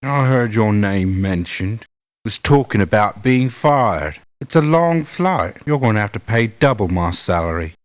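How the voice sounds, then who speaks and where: An actor playing a jaded, money-minded mercenary in a video game, with a curt, sardonic way of talking. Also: male voice, lowish voice, lively intonation, measured pace. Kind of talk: video game character voice lines.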